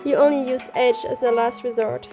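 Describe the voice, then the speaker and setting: A woman's voice: a little high, steady tone, moderate pace, lightly acted. A woman voicing an earnest young heroine who lightly teases her childhood friend in romance game dialogue. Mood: playful. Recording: background music.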